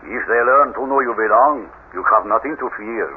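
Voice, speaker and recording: An actor playing a stern, loyal older estate caretaker with a Spanish accent, terse and ominous, in a radio mystery. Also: male voice, medium pitch, normal speed, natural voice. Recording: tinny, hissy old radio recording.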